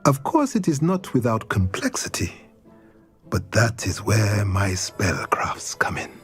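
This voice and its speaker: An actor playing a formal, courteous male shop proprietor, sometimes dropping to a hush. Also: lowish voice, wildly swooping pitch, faint music behind, heavily acted. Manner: formal, measured, at times hushed. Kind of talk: video game cutscene.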